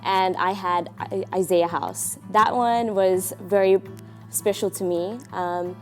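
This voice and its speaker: A woman's voice: medium pitch, fairly even intonation, slow pace, darkish tone, faint music behind. A woman from South Africa with a South African accent, earnestly recounting her volunteer year. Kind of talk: testimonial interview.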